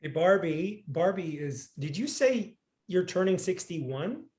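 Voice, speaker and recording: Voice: mid-range voice, very animated, measured pace, darkish tone. A host of a community call who keeps encouraging and affirming the guest, chatting casually over a video call.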